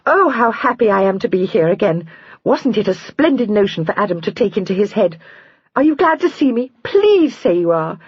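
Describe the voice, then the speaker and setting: A woman plays a spoilt young sister in a period audiobook, bubbling over in very high, lively chatter. She gushes breathlessly. The voice is a bit husky, and the recording is dry. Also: normal speed, no echo, dull audio, heavily acted. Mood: gushing.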